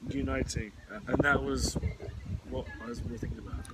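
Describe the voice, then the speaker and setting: A man's voice: medium pitch, fairly even intonation, moderate pace, heavy background noise. A man of thirty, a podcast host, who muses and rambles as he works out his ideas. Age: 30s. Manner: musing, rambling. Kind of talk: casual chat.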